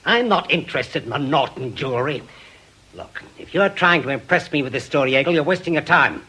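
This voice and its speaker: A cantankerous British ex-copper in a radio play. His voice is husky and fairly high for a man, and it swings widely as he presses his point with brusque scorn. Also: expressive, fairly quick, sharp tone, heavily acted. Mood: combative, scornful. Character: obsessive, cantankerous veteran ex-policeman and bereaved husband. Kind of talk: radio drama acting.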